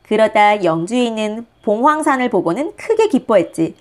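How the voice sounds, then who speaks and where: Lively woman reading a children's storybook. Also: fairly high pitch, normal speed. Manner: animated, speaking to young listeners. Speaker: storyteller reading a picture book. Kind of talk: expressive children's storybook narration.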